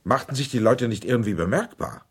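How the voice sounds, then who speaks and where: Husky, insistent inquiry interrogator. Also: male voice, mid-range voice, lively intonation, brisk. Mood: insistent, pressing. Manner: probing, insistent, formal. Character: persistent male senator interrogating witnesses at inquiry. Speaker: investigating questioner. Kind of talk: German documentary radio drama, formal questioning.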